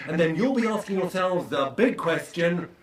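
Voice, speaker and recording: A smug, overbearing motivational trainer in a radio play. His voice sits fairly high and rings in an echoing room. He delivers slick, pompous sales patter full of jargon. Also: expressive, normal speed. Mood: hyped, boastful. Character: smug, overbearing male motivational course leader. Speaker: self-confidence course trainer.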